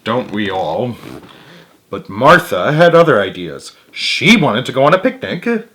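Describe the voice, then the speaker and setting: A man's voice: mid-range voice, wildly swooping pitch, moderate pace, a bit bright. A man reading a picture book aloud as the host, with chatty asides of his own. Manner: chatty, interjects own comments and chuckles. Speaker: story reader, host of a read-aloud series.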